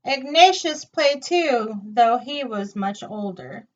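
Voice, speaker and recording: A woman reading a children's picture book aloud with an expressive, singsong delivery, in a dull, distant recording. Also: a little high, takes it slowly. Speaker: storytime reader.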